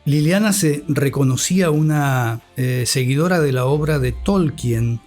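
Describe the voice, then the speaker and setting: An Argentine man speaking thoughtfully as an interview guest and radio scholar, with deliberate delivery and a formal, learned vocabulary. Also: on the high side, a little mellow, faint music behind.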